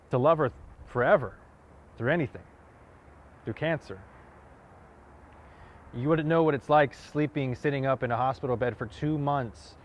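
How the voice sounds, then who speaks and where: A man performing a dramatic monologue as a wounded, earnest man confronting someone, halting and repeating words for emphasis. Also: lowish voice. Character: wounded, earnest man confronting a cocky youth, grieving lover. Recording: dull lo-fi audio, clear outdoor noise.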